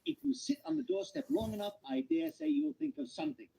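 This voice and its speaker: An actor playing a small, polite, fussy hobbit in a radio play, with a genteel, chatty manner. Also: male voice, very high voice, fast talker, creaky, dark tone, a little hiss or hum, full character voice, rough recording. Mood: nervously helpful.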